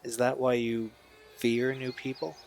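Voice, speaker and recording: A man's voice: mid-range voice, level tone, unhurried, darkish tone, soft background music, lightly acted. A man playing an easygoing, supportive young friend in an audio drama, speaking casually. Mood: gently curious. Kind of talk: audio drama dialogue, naturalistic amateur acting.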